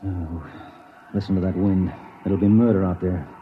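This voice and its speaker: An actor playing an arrogant, edgy space exploration veteran with a gruff, husky voice, in 1950s radio drama style. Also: male voice, deep voice, fairly even intonation, normal speed, a little hiss or hum, close to an everyday voice. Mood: brusque, uneasy. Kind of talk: 1950s radio acting with first-person narration. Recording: dull lo-fi old radio recording.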